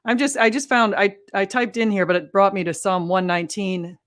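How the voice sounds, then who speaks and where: よく笑う気さくな女性ホスト. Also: female voice, mid-range voice, fairly even intonation, brisk. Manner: chatty, laughs often, thinks aloud. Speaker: host. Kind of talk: casual interview chat.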